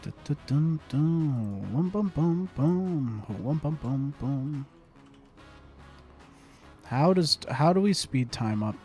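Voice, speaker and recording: A man's voice: on the high side, animated, slow pace, dark tone, soft background music. Young man muttering over gameplay. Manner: muttering to himself, humming and repeating phrases. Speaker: gaming streamer. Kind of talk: casual gameplay commentary.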